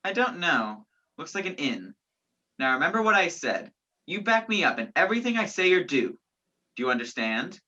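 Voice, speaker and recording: A woman's voice: lowish voice, very animated, moderate pace, bright, forceful tone, exaggerated voice. A woman acting in a radio play as a sardonic, commanding man on the run, with dry sarcasm and clipped orders. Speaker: student stage actor. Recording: dull, lo-fi virtual recording.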